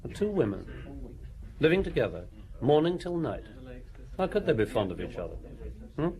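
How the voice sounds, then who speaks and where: An actor playing an idle, snobbish playboy in a radio drama, with an offhand, clipped, sardonic way of talking. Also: male voice, fairly high pitch, sing-song, moderate pace, a little mellow, poor audio. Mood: cool, sardonic.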